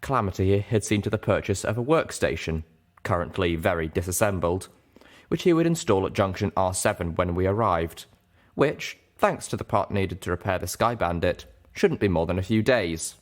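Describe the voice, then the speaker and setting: A man narrating an audiobook as an earnest, self-doubting young mare, in a measured, deliberate way. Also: deep voice, animated. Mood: reflective. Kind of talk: scripted first-person audiobook narration.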